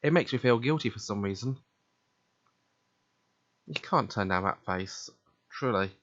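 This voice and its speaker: A man's voice: lowish voice, expressive, a little slow, a bit bright, no room sound, lo-fi sound. A man reading a visual novel aloud, narrating in the first person and voicing its dialogue.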